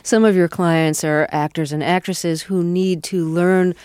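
A woman interviewer asking measured, clear questions in a radio interview. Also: fairly low pitch, fairly even intonation, a little mellow, no echo, studio quality.

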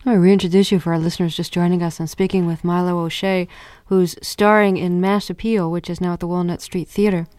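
A woman hosting a radio interview, asking questions and giving measured introductions. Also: fairly low pitch, level tone, darkish tone, no echo.

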